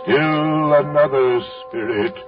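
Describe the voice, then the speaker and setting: An actor playing a grave, stately dying spirit in a 1930s radio drama. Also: male voice, on the high side, slow, music in the background. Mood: grave, resigned. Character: solemn dying spirit guiding a miser. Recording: muffled old 1930s radio broadcast.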